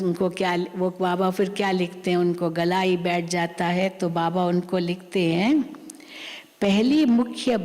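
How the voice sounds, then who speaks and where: A woman spiritual teacher giving a sermon, explaining a scripture reading slowly and repeating her points. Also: medium pitch, a little mellow. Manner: slow, repetitive, explanatory. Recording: long hall reverb.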